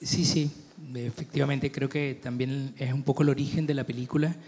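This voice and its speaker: A middle-aged man from Chile, a film director answering festival interview questions thoughtfully, with many pauses and restarts. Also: mid-range voice, steady tone, a little mellow.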